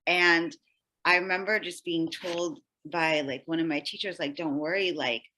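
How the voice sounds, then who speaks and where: Low-pitched, bright-voiced woman chatting. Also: steady tone, moderate pace, a little hiss or hum, rough recording. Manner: rambling, peppered with "like". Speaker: podcast guest, spiritual healer. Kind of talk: casual conversational storytelling.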